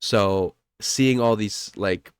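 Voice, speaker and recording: A man's voice: on the low side, extremely slow, clear, resonant tone, no room sound, studio quality. A young man co-hosting a podcast, chatting casually with a stuffy, congested nose and rambling, self-correcting delivery. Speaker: podcast co-host.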